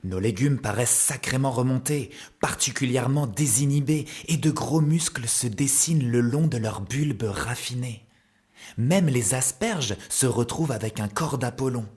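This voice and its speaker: A man's voice: medium pitch, animated, normal speed, a little mellow, husky. A man narrating a children's cartoon story as a playful storyteller in scripted style. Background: music, plucked guitar.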